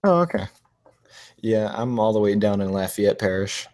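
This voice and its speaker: A man's voice: fairly low pitch, lively intonation, normal speed, darkish tone, a little hiss or hum. A young man from Texas with a slight Texas accent, hosting a casual live stream chat, easygoing and laughing often. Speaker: motovlog live stream host.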